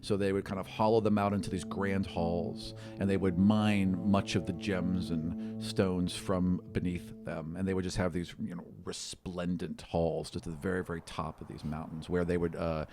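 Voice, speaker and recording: A man's voice: low-pitched, flat delivery, moderate pace, hardly any pauses, music in the background. A man narrating a tabletop game as game master, improvising in a conversational, enthusiastic way.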